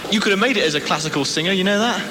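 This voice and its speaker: A man's voice: sits high, rapid-fire, sharp tone, slight background noise. A man hosting a radio interview, teasing his guest and leading with tag questions. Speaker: radio presenter.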